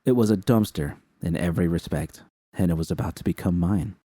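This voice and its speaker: Deep, dark-voiced man reading a story. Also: brisk, dry recording, clean studio sound. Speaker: fan fiction reader. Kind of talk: first-person story narration.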